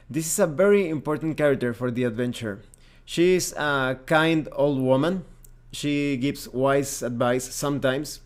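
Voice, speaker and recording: A man with a mid-pitched voice narrates a review. He explains things methodically and in plain phrases, like a host walking viewers through a product point by point. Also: a little mellow. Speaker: reviewer, host of a tabletop game review channel.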